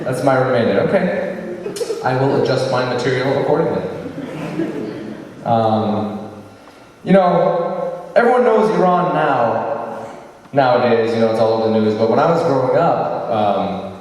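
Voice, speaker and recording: A young man doing a stand-up comedy set with a dry, deadpan delivery and crowd work. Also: medium pitch, measured pace, long pauses. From Iranian-American. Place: large hall on stage. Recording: echoey hall from far off, faint noise.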